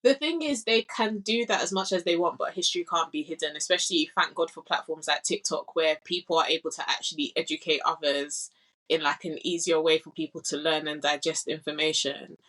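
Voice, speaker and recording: A woman's voice: deep voice, brisk, a bit bright, low-quality recording. London woman, earnest interview guest. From England. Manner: thoughtful, earnest, with frequent "like" and "you know". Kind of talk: casual conversational interview.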